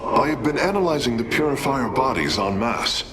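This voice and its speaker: A man's voice: medium pitch, expressive, normal speed, heavy background noise, natural voice. Man playing an earnest, analytical alien engineer. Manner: formal, analytical. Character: precise, earnest male alien engineer, no warrior. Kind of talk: video game cutscene acting.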